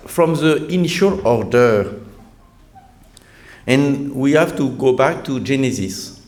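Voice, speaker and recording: Man, French accent, priest lecturing, slow and halting, mid-pitched, restarts phrases, dry humor. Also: slight background noise. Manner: measured, halting, with frequent restarts and dry self-deprecating humor. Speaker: priest giving a conference talk. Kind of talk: lecture-style sermon.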